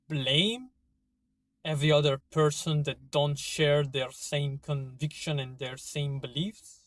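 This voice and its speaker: A man with an Italian accent talking to his viewers in a casual monologue, halting often with fillers and restarts. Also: fairly high pitch, dry recording. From Italy. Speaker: vlogger. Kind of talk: casual opinion monologue to camera.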